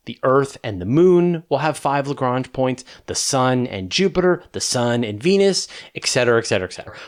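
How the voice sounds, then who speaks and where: Emphatic man explaining in a conversational way. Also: medium pitch. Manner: emphatic, conversational, with rhetorical questions and repetition. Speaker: host of a space question-and-answer show. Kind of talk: unscripted explainer answering viewer questions.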